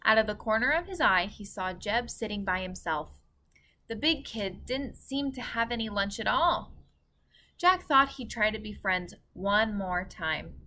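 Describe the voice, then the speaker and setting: A woman's voice: mid-range voice, lively intonation, a little slow, pushed and bright. A woman reading a children's chapter book aloud as a teacher would. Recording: faint background noise.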